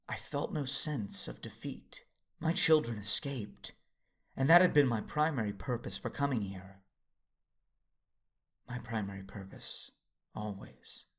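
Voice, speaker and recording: A man's voice: mid-range voice, sharp tone, full character voice. Man acting a grave, intense battle-worn warrior father. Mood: resigned, breathy. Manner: intense, grave, breathy in places. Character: battle-weary Jedi father narrating his desperate lightsaber duel. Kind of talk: first-person dramatic narration in an audio drama. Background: music.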